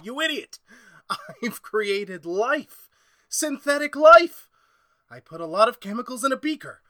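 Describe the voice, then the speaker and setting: An actor playing an irascible, egomaniacal chemist in old-style horror radio drama, imperious and melodramatic. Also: male voice, very high voice, sing-song, a little slow, bright, forceful tone, dry recording, clean studio sound, heavily acted. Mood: manic, gloating.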